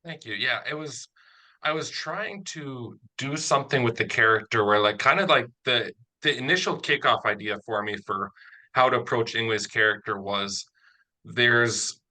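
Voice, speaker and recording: A man's voice: medium pitch, moderate pace, sharp tone, low-quality recording. A man speaking conversationally as an interviewer and writer, explaining his ideas in an analytical way. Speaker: interviewer, audio drama writer.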